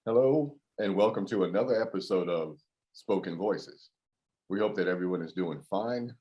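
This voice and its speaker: A man's voice: deep voice, moderate pace, muffled recording. A man hosting an interview show, chatty and friendly, laughing often. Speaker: host.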